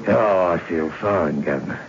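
An actor playing an insolent Cockney male convict serving life, with a slow, mocking, sarcastic delivery. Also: sits low, close to an everyday voice. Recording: dull lo-fi old radio recording.

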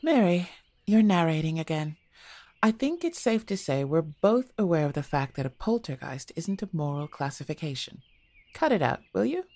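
A woman playing a sarcastic, impatient ghost hunter in an audio drama, with a deadpan, sardonic delivery. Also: low-pitched, moderate pace, no echo, exaggerated voice. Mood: irritated, deadpan.

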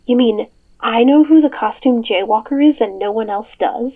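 A woman's voice: on the high side, normal speed. A woman playing an amused, teasing older sister in an audio drama, heard through a phone filter. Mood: amused, incredulous. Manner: giggly, teasing. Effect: phone call filter. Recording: thin, band-limited phone line.

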